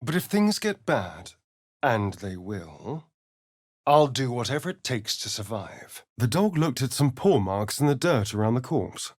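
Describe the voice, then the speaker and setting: A man's voice: big pitch swings, a little slow, dry recording, clean studio sound. A man playing a sly, sardonic vampire spawn rogue in a video game, with an arch, drawling, theatrical delivery. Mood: dramatic storytelling. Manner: arch, theatrical, drawling, with big swoops in pitch. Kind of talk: video game voice lines and narration.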